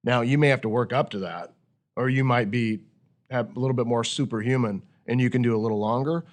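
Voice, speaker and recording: Guy hosting a podcast, middle pitch voice, explains stuff like a coach, asks questions as he goes. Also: fairly quick. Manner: instructive, with rhetorical questions. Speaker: podcast host leading a discussion. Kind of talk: conversational coaching talk.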